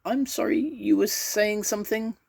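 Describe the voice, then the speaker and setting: A man voicing a huge but gentle and courteous monster mother in a storybook. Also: high voice, moderate pace, creaky, slightly rough, voice pushed up, full character voice. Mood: apologetic.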